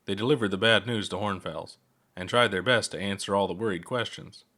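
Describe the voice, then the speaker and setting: A man narrates a piece of fiction in a fairly low voice. He reads as the author of the story, in scripted audiobook fashion. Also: brisk, pushed and bright. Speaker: author reading own story.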